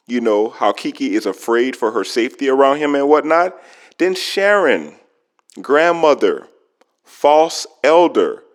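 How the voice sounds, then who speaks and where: Emphatic male commentator with a swooping voice. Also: medium pitch. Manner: emphatic, rhetorical questions, drawn-out words for effect. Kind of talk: opinionated gossip commentary to camera.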